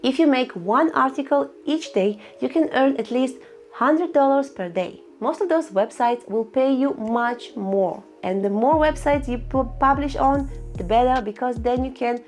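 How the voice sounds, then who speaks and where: Female tutorial host, fairly high voice, instructional and a bit cheeky, soft music bed. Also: unhurried. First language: Russian. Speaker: online income tutorial host. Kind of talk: screen-recorded tutorial voice-over.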